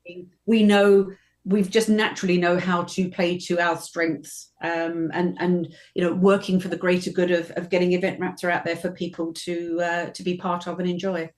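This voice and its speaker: A British woman in her fifties, a professional voiceover artist, answering interview questions in a lively, chatty way with frequent restarts. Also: fairly low pitch, moderate pace. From Britain.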